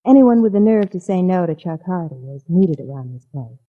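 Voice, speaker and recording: A woman's voice: on the low side, animated, fairly quick. An actress playing a bold, sardonic young woman in a 1940s radio drama, direct and taunting. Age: young adult. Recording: tinny, narrow 1940s radio recording.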